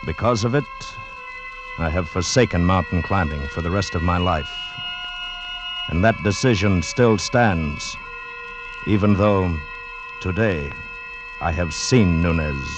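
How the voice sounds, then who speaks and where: Grave, low-voiced haunted mountaineer. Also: male voice, measured pace, pauses often, low-quality recording. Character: haunted male mining engineer and former mountain climber. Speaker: narrator and character in radio drama. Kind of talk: 1940s radio acting, dramatic first-person narration. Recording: vintage radio recording with music.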